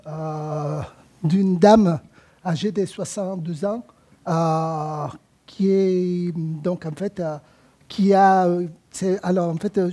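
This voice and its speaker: A man's voice: sits high, dark tone. Hesitant Italian-accented man presenting. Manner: hesitant, many fillers and restarts. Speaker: rehabilitation physician presenting a clinical case. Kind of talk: conference presentation.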